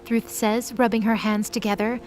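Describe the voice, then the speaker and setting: A woman's voice: on the high side, level tone, moderate pace, darkish tone, a little hiss or hum. A woman reading scripted story narration for an audio drama.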